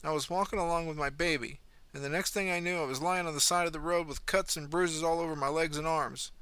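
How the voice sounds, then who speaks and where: A man's voice: a little high, steady tone, quick pace, bright, forceful tone, clean tone, no room sound, pitched above the performer's own voice. A man voicing a weary young mother in a hospital bed, tense and defensive in her clipped replies. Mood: distressed.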